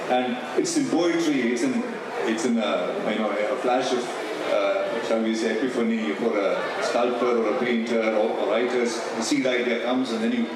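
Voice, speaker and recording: An older male moderator speaks in a measured, reflective way, weighing his words. He is picked up at a distance in a reverberant festival hall, with steady hiss in the background. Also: medium pitch. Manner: measured and reflective, cites thinkers. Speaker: moderator, journalist. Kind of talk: festival stage conversation.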